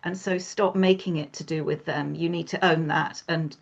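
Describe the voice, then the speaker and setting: A woman's voice: sits low, fairly even intonation, moderate pace, vocal fry, dull audio. A woman interviewing a guest, affirming his points with short replies and then expanding on them at length. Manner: affirming, with many short back-channel replies. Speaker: interviewer, coach. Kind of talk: conversational interview.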